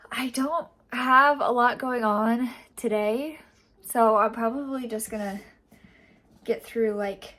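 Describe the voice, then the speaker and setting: A woman's voice: a little high, slow pace, a bit bright. A young woman with a cold and a congested, nasal voice, chatting casually in a rambling reading update full of fillers. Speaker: book vlogger.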